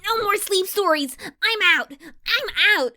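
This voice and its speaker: A woman's voice: very high-pitched, expressive, slow, sharp tone, heavily acted. A woman voicing a frightened, grumpy young filly pony with a blunt, exclamatory way of talking. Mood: scared, irritated.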